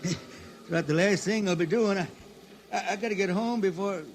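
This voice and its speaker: An actor playing an old blind spaceman balladeer, homesick and wheedling, with a halting folksy drawl in old-time radio drama. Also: high voice. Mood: wistful, stubborn. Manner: halting folksy drawl, repeats himself, pleading. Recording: noisy vintage radio recording.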